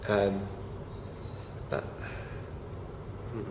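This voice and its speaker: A young man, croaky from feeling unwell, talking casually to camera with many fillers and restarts. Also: fairly low pitch, level tone, drawn-out words. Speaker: vlogger. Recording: noisy home recording.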